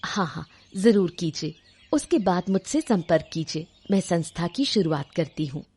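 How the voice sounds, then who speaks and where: A woman's voice: fairly low pitch, lively intonation, moderate pace, darkish tone, husky. A woman playing a sensible, determined, educated young village woman in a cartoon story, polite and composed. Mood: purposeful. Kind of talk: Hindi cartoon story acting.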